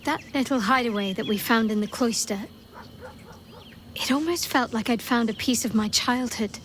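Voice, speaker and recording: Woman playing a guarded, wry young cleric, lightly high-voiced. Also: normal speed, fairly bright tone, a little hiss or hum, close to an everyday voice. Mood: wistful. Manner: reflective, wry. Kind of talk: naturalistic video game character acting.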